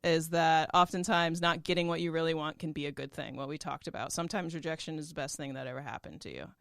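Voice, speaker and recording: A woman's voice: low-pitched, fast, creaky, fairly bright tone, no room sound, studio quality. A woman co-hosting a podcast, chatting casually and telling a story with frequent laughs. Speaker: podcast co-host.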